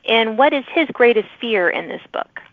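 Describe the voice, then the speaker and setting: A woman's voice: fairly high pitch, lively intonation, moderate pace, dry recording. A woman hosting a podcast, interviewing a guest in a friendly, chatty way. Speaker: podcast host interviewing authors. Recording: muffled band-limited phone-like call audio.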